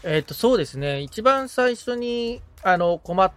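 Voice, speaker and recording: A man's voice: high-pitched, expressive, unhurried, a bit bright. A man chatting casually as a podcast assistant, agreeable and reflective as he takes advice. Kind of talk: casual conversation with frequent listening responses.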